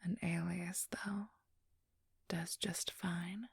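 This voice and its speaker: A woman acts out an ageless female vampire thief in an intimate ASMR role-play. Her very husky, breathy whisper crackles with fry and moves slowly, haughty and teasing, right up at a dry microphone. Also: lowish voice, level tone, no room sound, full character voice. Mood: sly, boastful. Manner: condescending, teasing, drawn-out delivery. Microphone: close, dry microphone.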